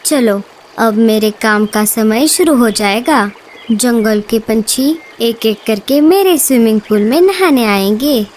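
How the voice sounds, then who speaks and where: A woman's voice: on the high side, slow, a little mellow, a little hiss or hum. A woman voicing Bulbul, a strict and greedy mother bird in a cartoon who charges for her pool. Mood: bossy.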